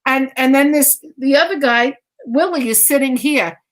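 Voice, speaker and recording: A woman's voice: sits high, moderate pace, a little hiss or hum. A woman giving a conversational live mediumship reading, insistently repeating phrases and relaying messages as quotes.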